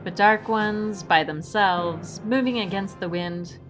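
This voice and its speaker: A woman's voice: medium pitch, slow pace, music in the background, natural voice. A woman acting a practical swashbuckling heroine in an audio drama, with a dry, sardonic manner.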